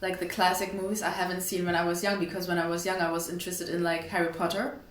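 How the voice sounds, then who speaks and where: Young woman, lowish voice, echoey room. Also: little pitch movement, fast talker. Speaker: interview guest. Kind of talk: casual chat. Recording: echoey room with background noise.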